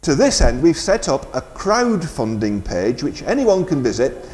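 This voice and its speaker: A man's voice: mid-range voice, very animated, measured pace, darkish tone. A man presenting live community radio, making a fundraising announcement in an informal, hesitant, bantering way.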